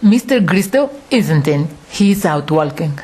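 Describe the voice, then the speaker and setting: A woman's voice: low voice, animated, unhurried, darkish tone, husky, full character voice. A woman playing an upright, indignant wife and mother in a horror audio drama, firm and emphatic. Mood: plain, firm.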